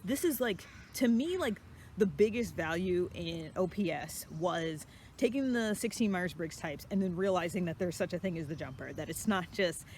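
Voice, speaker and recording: Host doing a casual off the cuff explainer, kinda rambly, mid pitch, noisy background hum. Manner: rambling, circles back and qualifies points. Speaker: host of a personality typology series. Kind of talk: casual unscripted explainer monologue.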